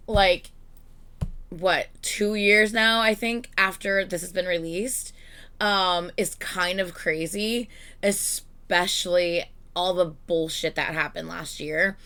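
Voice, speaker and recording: A woman hosting a reaction video, talking in a chatty, excitable way and swearing freely. Her voice is bright and sharp but slightly hoarse and congested today. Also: on the low side, takes it slowly. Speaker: reaction channel host. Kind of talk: casual reaction vlog chat.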